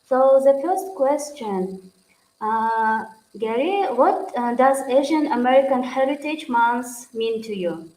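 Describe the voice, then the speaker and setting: A woman's voice: fairly high pitch, expressive, takes it slowly, darkish tone, reverberant, low-quality recording. A woman hosting an online Q&A, polite, with non-native phrasing. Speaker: host, moderator. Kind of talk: moderating a Q&A.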